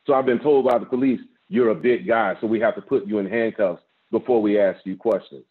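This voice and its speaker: A man in his fifties, a guest pastor, preaching a measured sermon with a strong voice from an office over a video call, rising with emphasis and repeating words as he builds. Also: on the low side. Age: 50s. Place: office with framed certificates, indoors. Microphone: video call, laptop camera. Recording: tinny phone-like video-call audio.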